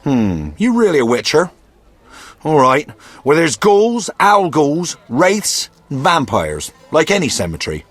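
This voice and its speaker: An actor playing a crusty, wily old man in a video game, chatty and grumbling with a raspy voice. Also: on the high side, wildly swooping pitch, a little slow, fairly bright tone, dry recording, faint music behind, heavily acted. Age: older.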